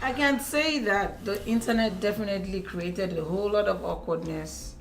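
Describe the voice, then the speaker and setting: A woman from Nigeria with a Nigerian accent, co-hosting a casual relationship chat in an expressive, exclamatory way. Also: medium pitch, normal speed, a little hiss or hum, rough recording. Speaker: talk show co-host.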